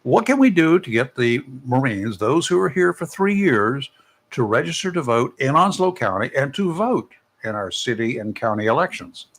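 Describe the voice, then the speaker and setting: Male talk-radio host, conversational and wry, mid-pitched voice, studio interview. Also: sing-song, normal speed. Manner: conversational, joking, pointed questions.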